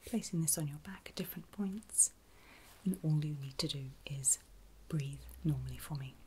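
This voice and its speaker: Softly whispering woman, flat and gentle. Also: sits low, normal speed, slight background noise. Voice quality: soft, mostly unvoiced breathy whisper. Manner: gentle, reassuring, step-by-step instructions. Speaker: ASMR performer playing a pre-op medical examiner. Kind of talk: whispered ASMR medical roleplay.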